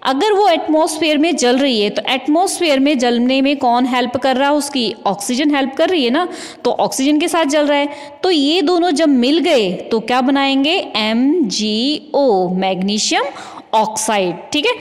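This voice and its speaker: A woman with an Indian accent teaching a school science lesson, explaining step by step with repeated points, rhetorical questions and frequent check-in tags. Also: sits high. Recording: echoing, reverberant room sound.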